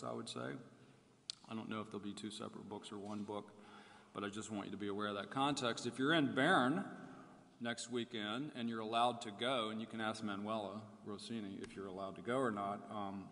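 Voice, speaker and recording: A man giving a formal academic keynote lecture, reading from prepared text in a measured way and setting off quotations with emphasis. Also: on the low side, gravelly, fairly bright tone, a little hiss or hum. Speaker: keynote speaker. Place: large indoor room at a conference. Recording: reverberant lecture hall.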